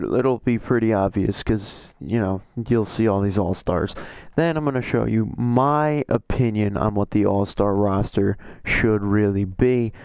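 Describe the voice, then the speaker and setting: A man with a hoarse, slightly worn-out voice gives casual, rambling commentary as a YouTube creator, often correcting himself mid-sentence as he shares his opinions. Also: fairly low pitch, darkish tone, dry recording, low-quality recording. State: hoarse, voice a little shot. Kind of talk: casual commentary over an on-screen roster.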